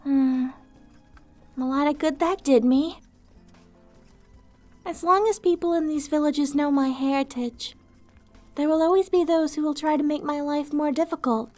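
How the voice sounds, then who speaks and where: A woman voicing a gentle, weary female vampire outcast in an ASMR roleplay, soft-spoken, wistful and earnest, with formal wording. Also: sits high, a little slow, pauses often, faint music behind. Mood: wistful, resigned.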